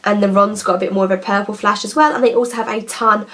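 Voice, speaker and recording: Girl in her 20s rambling on camera, mid pitch, lots of ums and likes. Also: steady tone, quick pace, a bit bright. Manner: chatty, enthusiastic, rambling with hesitations. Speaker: nail polish vlogger. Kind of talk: casual chat to camera.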